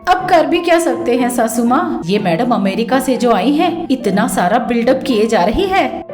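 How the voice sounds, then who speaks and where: Mocking sister-in-law a woman plays her, mock-sweet sarcasm in a high, animated voice, for a comic village storybook tale. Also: moderate pace, over music. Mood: sarcastic.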